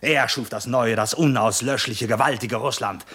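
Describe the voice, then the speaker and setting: An actor in a 1950s German radio drama playing a hunted, bitter, proud revolutionary commander with an intense, rhetorical delivery. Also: male voice, mid-range voice, moderate pace, breathy edge. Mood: impassioned, bitter. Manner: intense, rhetorical, abrupt shifts between hushed and emphatic.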